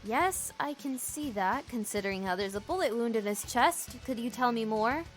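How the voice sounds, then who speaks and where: A woman's voice: fairly high pitch, animated, moderate pace, soft background music, natural voice. A woman voicing a persistent, businesslike hospital nurse in a visual novel game. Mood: insistent. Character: insistent female hospital nurse pressing for answers.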